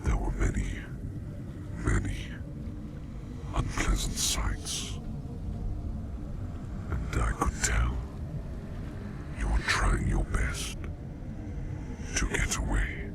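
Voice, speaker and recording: A man playing a mysterious, formal plague doctor in an ASMR roleplay, whispering in slow, archaic phrases with a breathy, gravelly voice. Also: very deep voice, steady tone, heavy background noise, exaggerated voice. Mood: calm, soothing. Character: mysterious plague doctor hypnotist visiting a dream, formal, protective.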